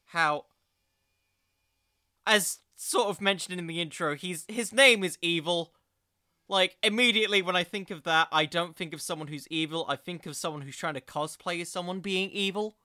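A man's voice: high-pitched, normal speed, sharp tone, no echo, studio quality. A young man hosting an informal solo podcast, rambling along in a jokey, self-deprecating way. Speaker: podcast host.

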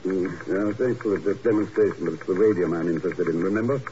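An actor playing a wry, dogged secret agent in a 1950s radio drama, clipped and dryly skeptical. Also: male voice, low voice, fast, natural voice. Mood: wry, wary. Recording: muffled, noisy old radio recording.

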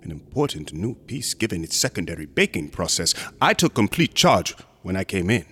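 An actor playing a smug, unctuous museum assistant in a horror radio drama, courteous and insinuating, sometimes dropping into whispers. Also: male voice, deep voice, sing-song, normal speed, pushed and bright, husky, full character voice. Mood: smug, secretive. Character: sinister, smug, unctuous museum assistant guarding macabre exhibits.

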